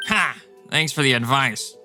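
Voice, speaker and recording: A man's voice: medium pitch, a little slow, bright, forceful tone, soft background music. A man acting an arrogant, cold-hearted banker husband, irritable and condescending, in a dubbed manga drama. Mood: irritated.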